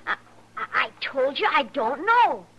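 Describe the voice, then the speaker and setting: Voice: sits high, sing-song, a little slow, pushed and bright, slight background noise, full character voice. An actor playing a defensive, frightened twelve-year-old ranch boy in an old radio western, protesting in folksy rural grammar. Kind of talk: 1950s radio western acting.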